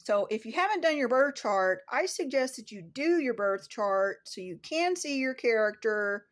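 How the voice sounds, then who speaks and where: Woman w a slightly high voice doing a casual spiritual talk to her viewers, emphatic and kinda repetitive. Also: animated, moderate pace. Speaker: spiritual astrology content creator. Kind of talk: informal spiritual teaching monologue to viewers.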